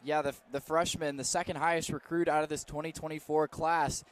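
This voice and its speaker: A man's voice: on the high side, level tone, a little fast. A man giving live football analysis on radio, conversational and free with his opinions. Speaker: color commentator. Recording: faint stadium noise behind.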